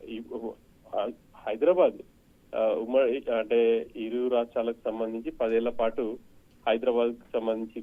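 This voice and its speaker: Guy phoning in a news report, tinny narrow phone sound, keeps hesitating, mid pitch. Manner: halting, hesitant, broken phrases. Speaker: news correspondent. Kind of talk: live unscripted phone report. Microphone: telephone. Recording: muffled narrow-band phone line.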